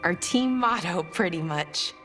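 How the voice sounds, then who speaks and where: A gentle companion woman in a fantasy game. Her slightly low voice is sincere and a little hesitant. Faint music lies behind it. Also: animated, measured pace. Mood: tender, light. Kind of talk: fantasy video game acting.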